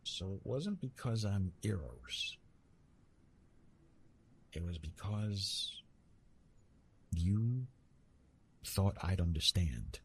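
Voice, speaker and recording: Retired love god, male actor: low, unhurried, halting, bashful and gently amused, intimate ASMR roleplay. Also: slight background noise, full character voice. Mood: gentle, curious. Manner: hesitant, halting, teasing, with repeated words.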